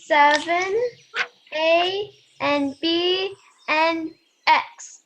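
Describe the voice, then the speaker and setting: A woman's voice: very high-pitched, fairly even intonation, extremely slow, fairly bright tone, full character voice. A woman playing a hesitant younger little girl struggling to keep up, in an audio drama. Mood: careful. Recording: dull lo-fi, faint noise, birdsong.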